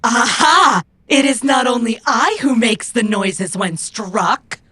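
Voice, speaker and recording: A woman acts out a proud female robot in a cyberpunk audio drama. The voice is husky and slow, and every phrase comes out formal and exact. The robot is stubborn and will not bend. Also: a little high, pushed and bright, exaggerated voice. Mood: fierce, defiant.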